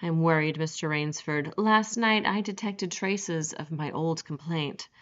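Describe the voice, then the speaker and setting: A woman reads as a polished aristocrat general who hosts and hunts with equal pleasure. He sounds genteel and gracious, lifted above the reader's own low voice, savoring his menace. The recording is dull and lo-fi. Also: measured pace. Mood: courtly, menacing. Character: suave, courtly, menacing aristocratic male general.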